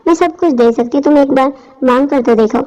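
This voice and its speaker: A woman's voice: sits high, a little fast, mellow, natural voice. A woman narrator voicing a scheming young college girl in a story, coaxing and greedy. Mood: eager, confident. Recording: dull lo-fi audio.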